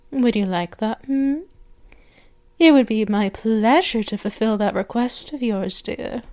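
A woman's voice: a little high, clean tone. A woman performing an intimate ASMR roleplay as a doting, teasing, possessive vampire mistress, cooing and sometimes slipping into whispers. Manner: slow, cooing, with whispered phrases and drawn-out words.

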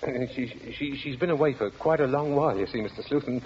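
Casting: male actor as apologetic, genial working-class father, stammering, vintage radio play, muffled with clear noise. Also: medium pitch, quick pace, a little mellow, close to an everyday voice. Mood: flustered, apologetic. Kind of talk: 1940s radio acting. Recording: dull, noisy 1940s radio recording.

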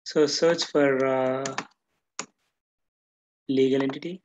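A man talks through a software tutorial step by step in a flat, even voice of medium pitch. He is halting and often restarts his phrases. The recording is dull and lo-fi. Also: slight background noise. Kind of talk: screen-share tutorial, unscripted step-by-step explanation.

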